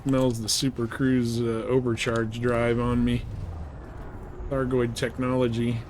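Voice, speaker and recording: A man's voice: medium pitch, completely flat delivery, a little slow, darkish tone, a little hiss or hum. A man livestreaming casual, slangy gameplay commentary in a laid-back way.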